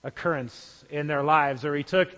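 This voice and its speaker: High-voiced male pastor in a reverberant hall. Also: fairly even intonation, moderate pace, a bit bright. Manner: conversational, with small restarts. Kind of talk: sermon.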